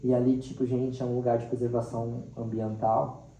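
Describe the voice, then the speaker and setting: A man's voice: medium pitch, flat delivery, a little fast, warm tone. A man with a central-western Brazilian accent talking casually and expressively as a teacher being interviewed, sprinkling in little acted quotes. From Mato Grosso do Sul, Brazil. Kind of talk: casual, animated storytelling in interview. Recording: roomy, distant mic, faint background noise.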